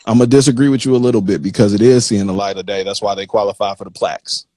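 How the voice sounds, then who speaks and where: A man hosting a casual interview, talking informally with slang in a halting way and often checking that he is followed. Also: fairly low pitch, steady tone, mellow, no echo. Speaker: interviewer, magazine host.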